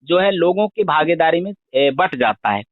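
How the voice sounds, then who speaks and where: A man's voice: fairly high pitch, expressive, moderate pace, no room sound. A man giving an insistent, step-by-step sales explanation over a phone call, repeating points and addressing the listener as sir. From India. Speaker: digital wallet scheme promoter making a sales call. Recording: tinny narrow-band phone line.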